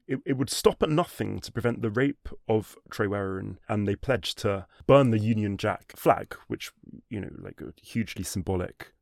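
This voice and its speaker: A man telling a history story in a conversational way, animated, with frequent restarts and fillers like "sort of" and "um". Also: lowish voice, moderate pace, gravelly, dry recording, studio quality. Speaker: history guest.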